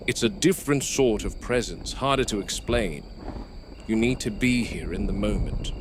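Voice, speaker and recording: An actor playing a wary, weary male game warden at a dinosaur park, narrating a horror audio log in a measured, grave way. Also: on the low side. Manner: measured, grave, deliberate field commentary. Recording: noisy VHS-style field recording with outdoor ambience.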